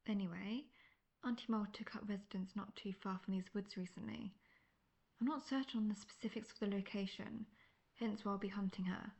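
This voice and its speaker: A woman's voice: medium pitch, vocal fry, a little mellow, natural voice. A woman playing a seasoned, cocky monster hunter in a fantasy audio roleplay, talking in a wry, conversational, self-assured way. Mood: matter-of-fact. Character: seasoned, cocky, world-weary female monster hunter, friendly mentor.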